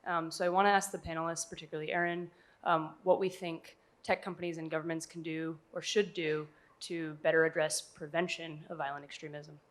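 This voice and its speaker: A woman's voice: low-pitched, little pitch movement, brisk. An American woman speaking from the audience, putting a formal question to a panel. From United States.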